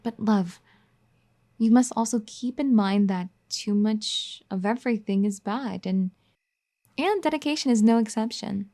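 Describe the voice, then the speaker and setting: A woman voicing a firm but caring young wife who scolds and then gently pleads in an audio roleplay. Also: medium pitch, unhurried, lightly acted. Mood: tender, pleading. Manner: bossy teasing scolding that turns gentle and pleading. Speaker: ASMR roleplay performer. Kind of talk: audio roleplay addressed to the listener.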